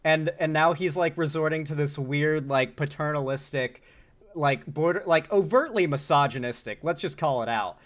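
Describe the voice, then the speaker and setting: Man, sarcastic live commentary, slightly higher voice, casual filler-heavy talk. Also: normal speed. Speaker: streamer host.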